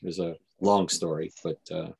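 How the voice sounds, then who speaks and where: Man, deep voice, relaxed small talk, video call. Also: normal speed, creaky, breathy edge. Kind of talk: casual video call chat.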